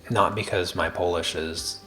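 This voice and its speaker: A man hosting a drawing livestream, chatting casually with viewers in a very soft, quiet voice and a slow, deliberate cadence full of small restarts. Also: sits low, steady tone, faint music behind. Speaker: art livestream host.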